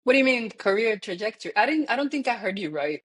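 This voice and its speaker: A woman with a Dominican accent chats as an interview guest. Her telling is animated, and she jumps into the voices of the people she quotes. She laughs often as she talks. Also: a little high, quick pace, husky. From Dominican Republic. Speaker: guest, real estate founder. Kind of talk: conversational interview.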